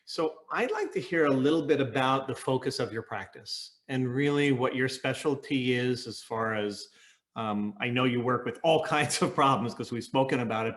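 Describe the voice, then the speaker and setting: An earnest man co-hosts an online interview, full of praise for the guest. His voice sits at a middle pitch for a man, and his phrasing is long and flowing. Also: a bit bright. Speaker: interview co-host. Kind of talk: hosting a spotlight interview.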